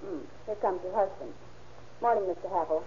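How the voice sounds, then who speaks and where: A woman's voice: mid-range voice, fairly quick, mellow, a little hiss or hum, lightly acted. A woman playing a cheerful, folksy, chatty neighbor in a 1930s radio drama, on an old muffled recording. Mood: friendly, chatty. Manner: folksy, sociable.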